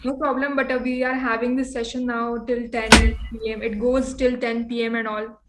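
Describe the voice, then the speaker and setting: Indian woman with an even, high voice. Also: normal speed. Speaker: meeting host. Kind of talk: casual online meeting talk. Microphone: video call. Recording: noisy video call audio.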